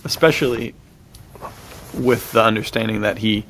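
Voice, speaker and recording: Gravelly, low-voiced man chatting casually. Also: steady tone, moderate pace, fairly bright tone, slight background noise. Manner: laid-back slangy talk, laughs easily. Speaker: podcast co-host. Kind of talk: casual film discussion, chatty retelling of plots.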